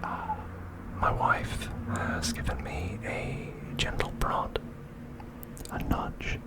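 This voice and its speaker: A man hosting an ASMR video, speaking in a hushed, deliberate whisper. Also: sits low, huge pitch swings. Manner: hushed, slow, deliberate delivery.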